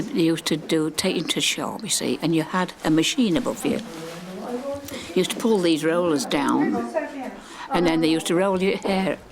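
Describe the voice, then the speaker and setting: An older woman, casually explaining how things used to be done. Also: fairly low pitch. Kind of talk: casual reminiscing chat. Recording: room with clear background chatter.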